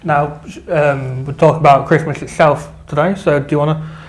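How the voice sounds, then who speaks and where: A man, co-hosting a late-night studio chat show and leading the questions in a relaxed, chatty way. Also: on the high side, moderate pace, a little hiss or hum. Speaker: co-host.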